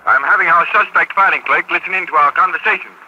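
An actor playing a brisk, efficient counterspy aide in a 1940s radio drama, businesslike, through a two-way radio filter. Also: male voice, on the high side, pushed and bright, slight background noise. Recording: harsh, muffled old radio sound.

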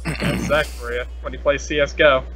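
A man's voice: medium pitch, steady tone, moderate pace. A young man chatting casually as a podcast host, with a playful, silly, teasing manner. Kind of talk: casual joking chat. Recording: noisy internet call recording.

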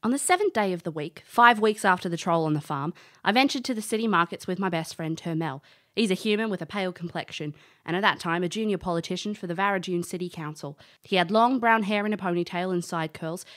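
A woman's voice: medium pitch, fast talker, a bit bright, close to an everyday voice. A woman playing a breezy, brash elf adventurer who narrates her own tale in an audio drama. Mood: storytelling. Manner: informal, brash. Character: cheeky, breezy female elf adventurer recalling her youth.

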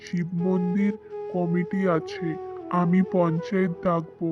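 A man's voice: high voice, level tone, a little slow. A man voicing a protective, kindly village schoolmaster in a cartoon, reassuring and righteous. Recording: dull lo-fi sound with faint music.

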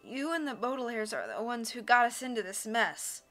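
A resentful, drowsy island man from a storybook, put on by a woman. His speech is thick and sleepy, with a bright edge and faint music behind. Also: on the high side, big pitch swings, normal speed. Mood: drowsy blame.

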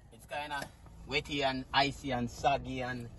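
A man's voice: medium pitch, little pitch movement, a little slow, fairly bright tone, a little hiss or hum. A Jamaican man chatting casually for a vlog in playful, teasing patois banter. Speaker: family vlogger. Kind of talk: casual joking vlog chat.

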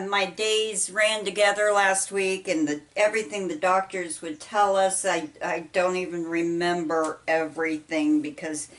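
A middle-aged woman with a Midwestern American accent, chatting casually while she folds laundry, talking haltingly with many ums and restarts. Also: on the low side, a bit bright. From United States. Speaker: vlogger. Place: small indoor laundry room. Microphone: camera set apart from her. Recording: voice a bit far from mic.

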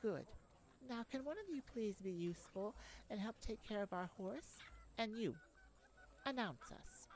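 A woman voicing a brave but worried young princess in an ASMR audio roleplay, gentle, earnest and a little hesitant. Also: medium pitch, expressive, a little slow, a little hiss or hum, voice pushed up. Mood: firm, commanding.

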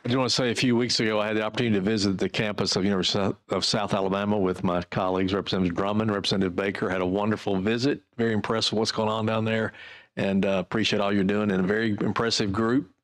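A man with a lowish voice chairs a state legislative committee, talking in a folksy, measured, slightly repetitive way. He speaks into a desk microphone in a large committee room. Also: little pitch movement.

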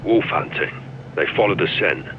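An actor playing a stoic, masked special forces lieutenant in a video game, clipped and darkly deadpan, with clear noise behind him. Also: male voice, sits low, normal speed, highs cut off. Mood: dry banter. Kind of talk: naturalistic video game acting.

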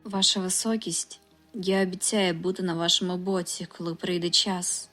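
A woman plays a young skeleton big brother in a cartoon comic dub. The voice sits a little low, calm and caring, with an easygoing delivery. It rings with long reverb over clear music. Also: normal speed. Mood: solemn.

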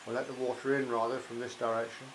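A man's voice: mid-range voice, little pitch movement, fast talker, fairly bright tone, a little hiss or hum, rough recording. A man narrating a walk outdoors, chatty and admiring, with wry asides. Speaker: walking vlogger narrating. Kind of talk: casual walking commentary. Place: outdoors by a rural estuary and canal.

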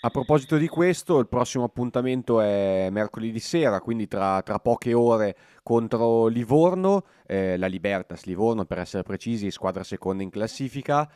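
A man's voice: on the low side, animated, moderate pace, few pauses, a little mellow, no room sound, clean studio sound. A man hosting a sports interview on the radio, introducing and questioning his guest.

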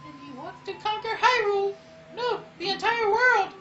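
A woman's voice: extremely high pitch, normal speed, pushed and bright. A woman voicing an earnest, pleading young princess in a crude parody dub of game dialogue. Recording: roomy, distant, dull lo-fi with game music.

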